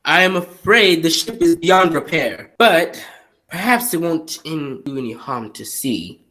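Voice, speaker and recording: A man's voice: fairly high pitch, animated, measured pace, bright, forceful tone. A man acting a loyal, cautious space prince in an amateur radio serial, earnest and dramatic. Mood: worried.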